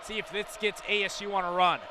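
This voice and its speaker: A man's voice: sits high, fairly even intonation, quick pace, noisy background. A man giving live analysis as a basketball color commentator.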